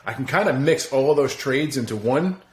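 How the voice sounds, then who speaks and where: A man's voice: medium pitch, expressive, a little fast. Forties guy on a podcast, swears a lot, laughs at himself, kinda echoey room. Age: 40s. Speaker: podcast guest. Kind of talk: casual interview chat. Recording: roomy echo.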